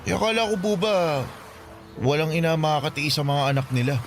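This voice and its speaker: A man's voice: on the high side, expressive, a little fast, slight background noise. A man playing a hurt young son in an emotional Filipino radio drama, speaking hesitantly and pleadingly. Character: hurt, pleading teenage son confronting his runaway mother. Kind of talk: Tagalog radio drama acting.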